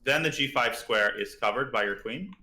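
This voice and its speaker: A man's voice: mid-range voice, normal speed, bright, forceful tone, roomy sound. A man with a slightly parched throat, chatting casually as he teaches chess on a live stream and thinks aloud about moves.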